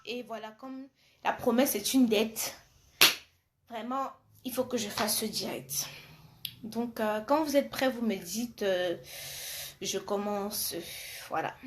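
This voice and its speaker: A woman's voice: fairly high pitch, slow, pauses often, background noise. A woman hosting a live stream, chatting casually and familiarly with her viewers and often repeating her words.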